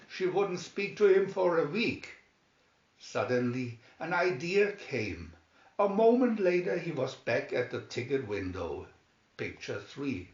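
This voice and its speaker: Animated, high-pitched man reading a storybook. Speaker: storybook reader. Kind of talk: animated scripted children's story narration. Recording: dull, roomy home recording.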